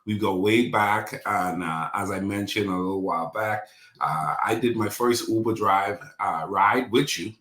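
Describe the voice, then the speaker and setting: A man raised in the Bahamas speaks as a guest in a relaxed talk. His voice sits low, and it rings a little in an echoey room. He talks in an upbeat, friendly way. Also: a little slow. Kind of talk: casual conversation.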